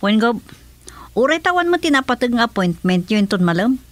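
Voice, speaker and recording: A woman's voice: a little high, sing-song, brisk, no room sound. An actress with a Filipino accent playing a deferential female assistant in a radio drama.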